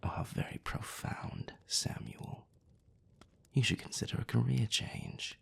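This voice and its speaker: A man voicing a haughty, sardonic male vampire in an audio roleplay, speaking with dry, mocking politeness in a gravelly, breathy voice. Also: mid-range voice, lively intonation, slow, whispered, exaggerated voice. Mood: cool, cutting.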